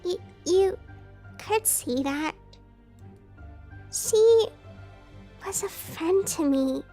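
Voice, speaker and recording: A woman playing a shy, eccentric teenage schoolgirl in a visual novel, with a girlish voice and a soft, hesitant way of speaking. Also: expressive, drawn-out words, soft background music, exaggerated voice. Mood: sad, hesitant. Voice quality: very high, girlish. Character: shy, eccentric teenage schoolgirl science club member, sweet and anxious. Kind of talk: visual novel character acting.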